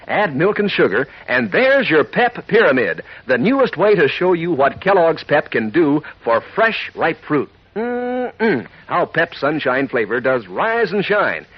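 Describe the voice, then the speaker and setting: A man's voice: a little high, very animated, unhurried, few pauses, husky, no room sound. A man doing a chummy, folksy 1940s radio advertising read as an enthusiastic announcer. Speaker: radio announcer and sponsor pitchman. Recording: dull lo-fi 1940s radio recording.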